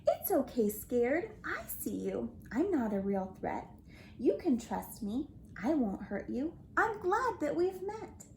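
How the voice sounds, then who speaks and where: A woman voicing a kind, calm female yeti in a storybook, gentle and reassuring. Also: high voice, unhurried, gravelly, a little hiss or hum, heavily acted.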